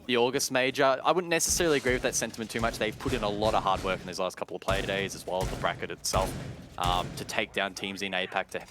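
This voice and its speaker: A man giving measured, analytical esports commentary, explaining play as a broadcast caster. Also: lowish voice, fairly even intonation, music in the background. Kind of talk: analytical live game commentary.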